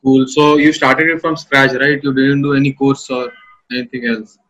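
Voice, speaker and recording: A man hosting a relaxed one-on-one podcast, chatting and putting questions to his guest. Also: mid-range voice, moderate pace, highs cut off. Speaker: podcast interviewer. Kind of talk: casual interview chat.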